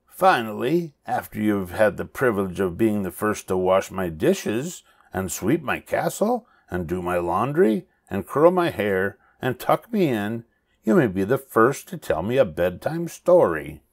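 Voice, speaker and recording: A man's voice: fairly low pitch, sing-song, unhurried, exaggerated voice. A man voicing a small, bossy female witch in a storybook, with a cackling, commanding manner. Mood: bossy, demanding.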